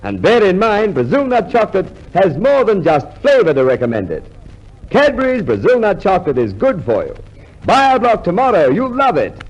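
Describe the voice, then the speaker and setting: A man's voice: sits high, huge pitch swings, moderate pace, slightly rough, lo-fi sound. A man announcing a vintage radio commercial on an old recording. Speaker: advertisement announcer.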